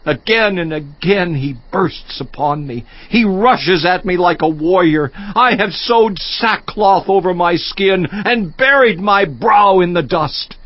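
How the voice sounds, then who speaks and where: An actor playing a grief-stricken, worn-out righteous man lamenting to God in a dramatized scripture audiobook, with anguished, drawn-out delivery. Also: high-pitched. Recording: dull lo-fi audio, echoing room.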